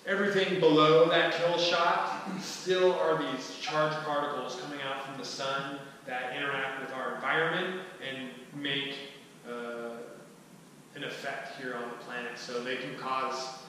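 A man in his thirties gives an informal talk to a small group, casual and halting as he thinks aloud. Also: medium pitch, little pitch movement, bright, forceful tone. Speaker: presenter at a skillshare. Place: large wooden-floored hall, indoors. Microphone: distant camera microphone. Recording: echoey hall from far off, faint noise.

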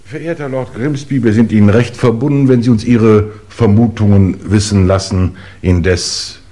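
An actor playing a courteous, methodical chief inspector in a 1950s German radio play, formal and probing. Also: male voice, fairly low pitch, moderate pace, mellow, natural voice.

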